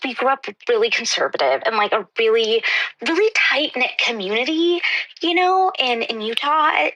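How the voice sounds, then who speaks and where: Comedy caller: sheepish, innocent young wife, high-pitched, bright and tense, hesitant delivery. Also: female voice, heavily acted, rough recording. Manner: hesitant, sheepish, breaks into whispers. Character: naive, embarrassed young wife from conservative Utah. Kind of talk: improvised comedy call-in.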